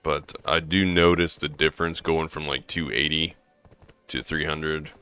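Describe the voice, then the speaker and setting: Very deep hoarse man, rambling. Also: level tone, a little slow, pushed and bright, soft background music. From American Midwest. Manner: rambling, thinking aloud with fillers. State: hoarse from allergies. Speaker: gaming streamer. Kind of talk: casual gameplay commentary.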